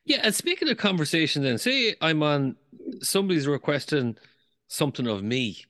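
A man's voice: fairly high pitch, big pitch swings, moderate pace, fairly bright tone. A man hosting a podcast, giving short affirmations and asking loosely phrased questions. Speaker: podcast host. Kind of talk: conversational interview questions.

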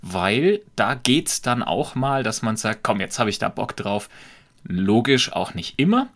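A man with a light Franconian accent, a podcast guest talking in a reflective, measured way and explaining with examples. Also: fairly low pitch, big pitch swings, fairly bright tone. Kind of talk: conversational podcast talk.

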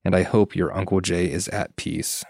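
A man's voice: low-pitched, steady tone, normal speed, creaky, a little mellow, husky, no room sound, clean studio sound. A man co-hosting a podcast, reading listener stories and chatting casually. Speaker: podcast co-host.

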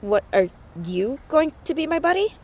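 Stubborn, high-voiced teenage girl. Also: sing-song, a little mellow, a little hiss or hum. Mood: dry, guarded. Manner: brisk, defiant, dryly sarcastic. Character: determined, stubborn, defiant teenage girl playing amateur detective. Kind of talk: naturalistic audio drama acting.

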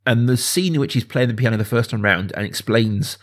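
A man's voice: mid-range voice, a little fast. A man hosting a podcast, talking casually about film in an emphatic way, often repeating phrases for stress. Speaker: podcast host.

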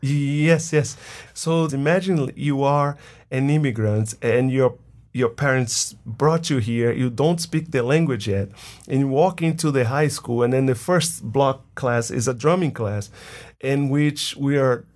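A man's voice: medium pitch, a little slow, a little mellow. A man who leads a drumming program, speaking in an interview conversation and posing rhetorical questions to a guest. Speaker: music program leader.

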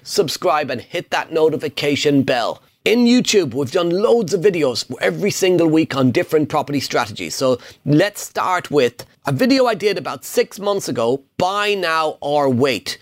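A middle-aged man hosting a casual Q&A, answering viewer questions on property investing in an upbeat, emphatic way. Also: fairly high pitch. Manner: upbeat, emphatic, punchy repetition.

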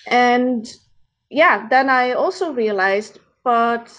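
A Dutch woman with a Dutch accent, a podcast guest who speaks in a halting, thoughtful way while searching for words. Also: fairly high pitch, fairly bright tone, dull audio. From Netherlands. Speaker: podcast guest, founder of an organization. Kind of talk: casual interview conversation.

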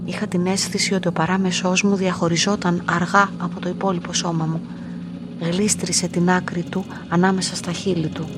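An actress playing a lonely, quietly curious young woman in a radio play, telling her story in a measured, introspective way. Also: on the low side, level tone, very noisy, lightly acted. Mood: reflective. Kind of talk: literary radio play narration and dialogue.

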